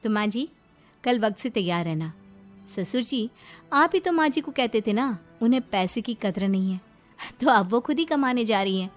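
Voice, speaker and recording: A woman's voice: fairly high pitch, expressive, normal speed, lightly acted. A woman voicing a cheerful, supportive young daughter-in-law in a Hindi cartoon story. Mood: assured. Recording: music behind the voice.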